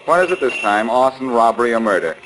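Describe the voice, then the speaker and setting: An American actor playing a cocky, unflappable sleuth with sardonic, flippant banter in 1940s radio style. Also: male voice, mid-range voice, lively intonation, quick pace, lightly acted, low-quality recording. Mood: mocking. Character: wisecracking, unflappable man sleuth, cocky and teasing. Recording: vintage 1940s radio recording.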